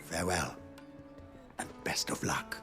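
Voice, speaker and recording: A man's voice: sits low, fairly even intonation, a little slow, music in the background. A man playing a deferential, patient manservant in a video game, speaking with formal, obliging eloquence. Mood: courteous, obliging. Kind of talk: video game cutscene acting.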